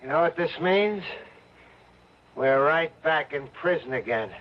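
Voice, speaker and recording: A man's voice: a little high, lively intonation, unhurried. An actor playing a protective, increasingly helpless man in an old-time radio drama, with an earnest, urgent manner. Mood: grim, wary. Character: steady, protective man turning helpless, trapped fugitive. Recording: muffled old radio recording, faint noise.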